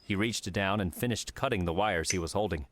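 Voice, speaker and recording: A man's voice: sits low, brisk, fairly bright tone, a little hiss or hum. A man narrating an audiobook, reading scripted fiction.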